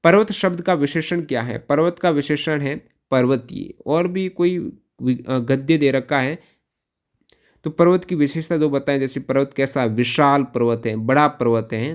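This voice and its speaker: Man, mid-range pitch, exam coach, instructional delivery, question-by-question teaching, repeats answers for emphasis. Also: normal speed.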